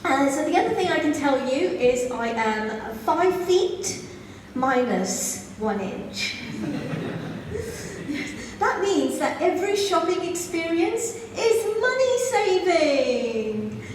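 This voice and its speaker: A high-voiced woman from Zambia works through a stand-up set, playful and quick to giggle, though she talks at an unhurried pace. Her voice comes from a distance across a club, with a strong echo and a long reverb tail. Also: sing-song, slight background noise. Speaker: stand-up comedian. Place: comedy club stage. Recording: echoey club sound from far off.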